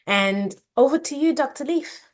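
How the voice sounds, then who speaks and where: A woman from Southern Africa hosting an event and introducing the guest speaker in a lively, warm way. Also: high-pitched, moderate pace. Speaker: host.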